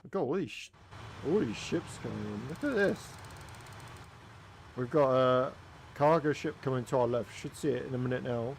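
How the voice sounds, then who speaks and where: A man's voice: medium pitch, expressive, normal speed, vocal fry, long pauses, a little hiss or hum. A man from Wales with a Welsh accent, giving casual, chatty commentary while flying a flight simulator. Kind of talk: casual gaming commentary with chat.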